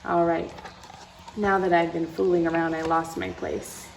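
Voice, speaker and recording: A woman's voice: on the low side, little pitch movement, measured pace, vocal fry, a little hiss or hum, low-quality recording. A woman reading a picture book aloud to children, with playful asides and dramatic emphasis, speaking straight to her young listeners.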